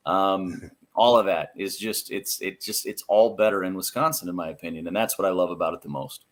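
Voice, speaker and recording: An American man chatting casually as a podcast guest, telling anecdotes in an animated, self-deprecating way. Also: deep voice, a little fast. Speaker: interview guest, radio play-by-play announcer.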